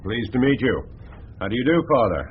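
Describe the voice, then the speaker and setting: An actor playing a self-assured New York advertising big shot in a radio drama, urbane and politely evasive. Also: male voice, mid-range voice, sing-song, a little fast, natural voice. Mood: affable, brisk. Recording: dull, slightly noisy radio recording.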